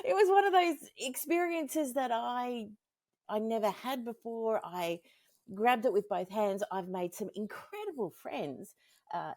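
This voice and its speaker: A woman's voice: fairly high pitch, dry recording. A woman with an Australian accent interviewing someone in a casual, informal and self-deprecating way. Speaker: interviewer and indie fiction podcaster.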